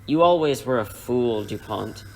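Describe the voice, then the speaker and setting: A man playing a cold, taunting gangster in an audio drama, sneering and measured. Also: medium pitch, expressive, a little mellow. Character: cold, taunting male gangster, sadistic former lover.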